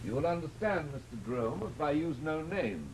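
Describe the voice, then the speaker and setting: Radio villain: coldly polite, formal, insinuating, very animated intonation, old noisy recording. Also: male voice, a little high, normal speed. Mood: coolly polite. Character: sinister, formal, coldly polite male go-between. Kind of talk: old-time radio drama acting.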